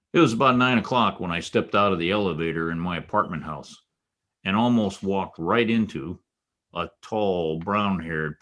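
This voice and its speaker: A man's voice: low voice, a bit bright. An American man playing a tough, wry private detective in a 1940s radio noir style, clipped and slangy. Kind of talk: 1940s radio noir acting with first-person narration.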